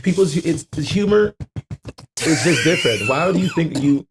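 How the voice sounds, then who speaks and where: A man's voice: high-pitched, wildly swooping pitch, normal speed, background noise. A man in a casual podcast chat, slangy and emphatic, telling exasperated stories with repetition and swearing. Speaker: podcast guest.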